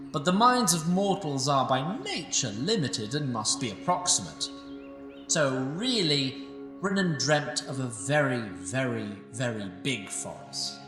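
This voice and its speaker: Man as sprite narrator, dry asides, lively pitch, far from the mic, music behind. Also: reverberant, in a large hall. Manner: dry, wry storyteller asides. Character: wry sprite narrator, male, ancient. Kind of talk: fantasy audio drama narration.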